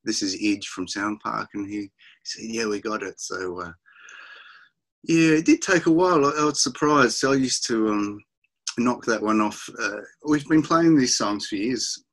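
A middle-aged Australian man, a musician, chatting over a video call from his home music room with halting, restarting speech. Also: lowish voice, a bit bright. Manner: halting, many restarts and fillers, laughs often. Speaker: musician guest.

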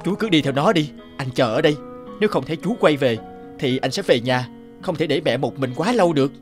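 A man playing a weary, jealous elder brother in an animated fairy tale, grumbling and scheming. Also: a little high, a little fast, close to an everyday voice. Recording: background music under the voice.

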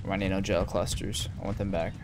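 A man's voice: deep voice, little pitch movement, a little fast, noisy background. A man giving casual gameplay commentary in a slangy, joking, reactive manner. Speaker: gamer, let's player. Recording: game music behind. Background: video game music.

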